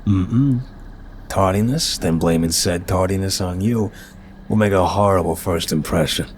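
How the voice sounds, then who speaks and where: Low-voiced, charming, nervous mafia boss played by a man. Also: a little slow, slight background noise. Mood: earnest, playful.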